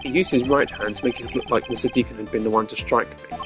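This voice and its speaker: A man voicing a composed, formal male prosecutor in a game, speaking in measured, deliberate deductions. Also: mid-range voice, steady tone, lightly acted. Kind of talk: fan voice acting of a game. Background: game music.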